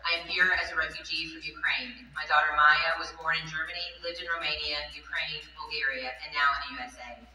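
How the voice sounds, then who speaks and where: A woman translating a relative's words on a theatre stage, calm and even, recorded far off in an echoey hall. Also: low voice, a little fast, few pauses, faint music behind. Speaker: interpreter for a family member on stage.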